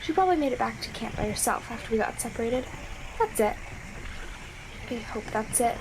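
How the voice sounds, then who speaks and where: A woman's voice: on the high side, expressive, brisk. A woman playing a young girl scout alone in a radio drama, childlike and earnest, anxious but determined. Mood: anxious, self-reassuring. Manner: childlike, earnest, self-reassuring. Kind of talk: audio drama monologue as radio transmission. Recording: clearly noisy radio transmission with ambience.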